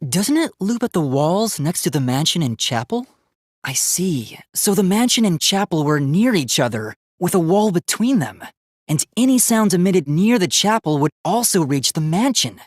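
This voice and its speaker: A man's voice: high voice, sing-song, moderate pace, no room sound, clean studio sound. A man voicing an earnest, logical young male robot student in a video game, speaking in a formal, precise, analytical way. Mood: analytical, serious. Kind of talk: English video game voice acting.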